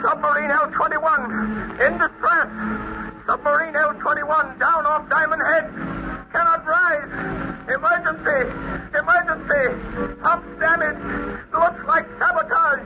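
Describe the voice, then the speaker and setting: An actor playing a tense naval radio operator in distress, in 1930s radio drama style. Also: male voice, very high-pitched, flat delivery, unhurried, pauses often, music in the background. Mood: urgent distress. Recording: muffled 1930s radio, loud background sound.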